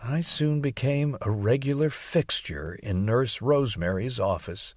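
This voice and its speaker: A man reading an audiobook as narrator, telling a first-person story in an expressive, theatrical way on a close mic. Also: medium pitch, measured pace, no room sound.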